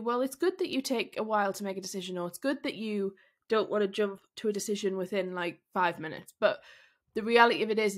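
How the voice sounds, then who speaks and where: A woman hosting a solo business podcast, speaking candidly with a croaky, husky voice from being unwell, thinking aloud with fillers and restarts. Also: medium pitch. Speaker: podcast host.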